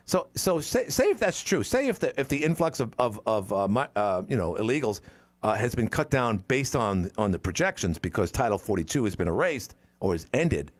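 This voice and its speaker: Rambling sixty-year-old radio talk host. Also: male voice, mid-range voice, very animated, moderate pace, no echo. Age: 60s. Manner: rambling, opinionated, self-interrupting. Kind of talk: casual call-in radio talk. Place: radio studio.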